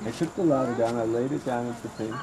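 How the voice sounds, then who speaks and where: A man in a family group telling a casual anecdote outdoors in a brisk, chatty way. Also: mid-range voice, crowd noise, rough recording. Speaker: family member. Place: outdoors near a road.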